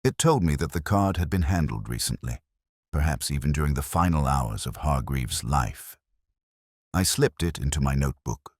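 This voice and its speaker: Very deep-voiced brooding detective played by a man. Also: no echo, clean studio sound, full character voice. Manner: measured, literary, melancholy. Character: brooding, erudite male police detective narrating his case. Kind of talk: scripted first-person mystery narration.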